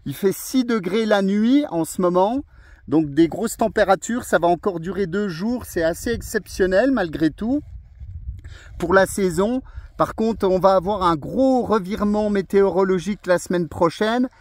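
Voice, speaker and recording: A man's voice: high voice, moderate pace, no room sound. A man talking on a portable ham radio from a mountain summit, chatty and friendly with many fillers. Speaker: radio amateur operating outdoors. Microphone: portable radio, filming a video.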